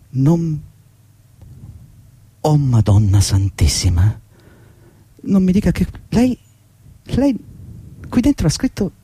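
A man's voice: mid-range voice, mellow, breathy edge, dry recording, exaggerated voice. An actor playing an anxious, fawning long-serving office employee in a radio drama, pleading hesitantly and deferentially as he rambles. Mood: agitated, dawning dread. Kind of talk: theatrical radio drama dialogue.

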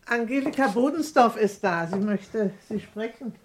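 A woman's voice: a little high, normal speed, noisy background, close to an everyday voice. A woman playing a polite, welcoming housekeeper in a German radio crime drama.

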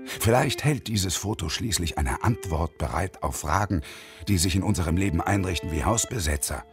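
A man's voice: low voice, expressive, normal speed, over music. An actor playing a bitter, sardonic unemployed engineer in his early fifties in a radio drama, wordy, pedantic and ironic. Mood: brooding, reflective. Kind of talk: radio drama acting with inner monologue.

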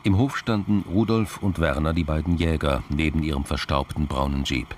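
A man's voice: a bass voice, fairly even intonation, normal speed, a little hiss or hum. A man delivering scripted suspense narration as the narrator of a radio drama.

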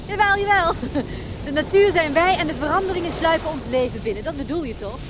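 Earnest young woman, really high voice, radio drama, loud-ish surf and wind behind. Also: fast talker. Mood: protesting. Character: sheltered young woman, earnest and eager to understand. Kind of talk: Dutch radio play acting. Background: sea waves and wind.

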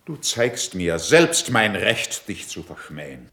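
Haughty courtier, lively intonation. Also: male voice, fairly low pitch, gravelly, exaggerated voice. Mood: condescending. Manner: measured, condescending, cutting declamation. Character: haughty, mature male courtier, cool, sarcastic rival. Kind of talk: classical verse stage drama, German radio play.

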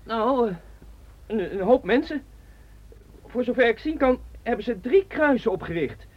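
An actress voicing a curious, chatty schoolboy in a radio play. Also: female voice, a little high, measured pace. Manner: lively, inquisitive. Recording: dull lo-fi recording.

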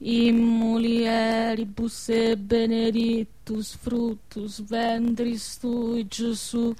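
A devout woman in a radio drama. She intones a repetitive, half-sung prayer in a fairly high voice, extremely slow and almost without melody. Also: monotone, mellow. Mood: solemn prayer. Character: devout woman chanting the Hail Mary.

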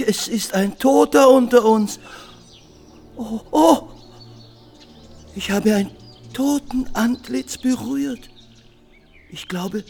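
Brief: blind inmate, fearful, halting and extremely slow, theatrical radio drama. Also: mid-range voice, creaky, a little mellow, heavily acted. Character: anguished blind hospice inmate, fearful and groping. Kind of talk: German radio play acting.

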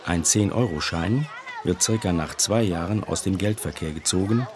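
Very low-voiced male narrator of facts. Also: normal speed. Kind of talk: scripted factual narration.

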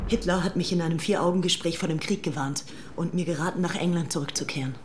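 Actress as low-voiced, brazen young English aristocrat. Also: female voice, fast, slight background noise, lightly acted. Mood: resolute. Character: brazen young English upper-class female Hitler devotee. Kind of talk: German audio docudrama, first-person diary narration.

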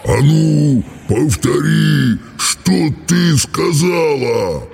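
Storybook dragon, husky growl, rumbling, ponderously slow, emotional. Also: male voice, lively intonation, darkish tone, full character voice. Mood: angry roaring. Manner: slow, drawling, bellowing and sobbing delivery. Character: grumpy, sullen old-fashioned dragon, secretly lonely and sentimental.

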